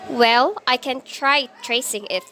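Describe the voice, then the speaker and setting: Woman as officious phone company employee, radio drama; slightly high voice, skeptical, procedural, faint noise. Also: slow, bright, forceful tone, full character voice. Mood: formal, businesslike. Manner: procedural, businesslike. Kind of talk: radio play acting.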